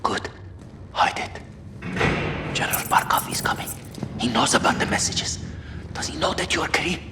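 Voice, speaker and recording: Urgent young captive rebel, widely swinging voice. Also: male voice, on the high side, animated, normal speed, background noise. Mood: hushed, urgent. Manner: urgent, hushed, intense. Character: young captive rebel, protective, anxious, defiant brother.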